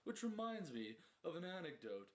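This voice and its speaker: A man's voice: fairly high pitch, very animated, normal speed, heavy background noise, voice pushed up. A man voicing a tedious male bore who drones through pedantic, digressive anecdotes in a comic verse monologue. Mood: trailing off. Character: tedious, rambling male bore telling endless dull anecdotes.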